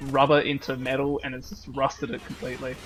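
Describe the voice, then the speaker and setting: A man's voice: medium pitch, monotone, fairly quick, fairly bright tone. A man giving casual let's-play commentary, joking and rambling as he riffs on the game. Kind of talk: casual gaming banter.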